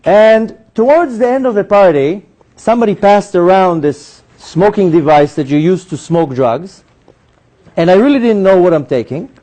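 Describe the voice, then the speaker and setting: A man speaks to a gathered audience, telling his story with animated turns into acted-out dialogue. His voice sits high for a man, yet it sounds mellow and soft-edged rather than bright. He talks like a practiced storyteller holding a room. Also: normal speed. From Israel. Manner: animated storyteller who acts out dialogue in a higher voice. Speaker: speaker giving a talk. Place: synagogue hall.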